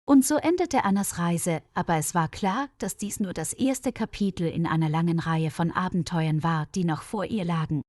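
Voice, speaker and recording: A woman reading a scripted story for language learners in a measured, careful read-aloud manner. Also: on the low side, a little mellow, dry recording, studio quality. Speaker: narrator of German learner stories.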